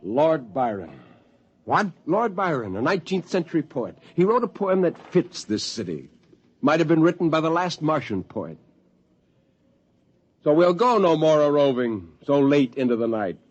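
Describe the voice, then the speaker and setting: Intense poetic spaceman, brooding zealot, husky, wide expressive range, dull vintage radio sound. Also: a little high. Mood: somber, then elegiac recital. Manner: intense, deliberate, literate. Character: brooding, poetic male spaceman turned cold-blooded zealot. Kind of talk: 1950s radio drama acting.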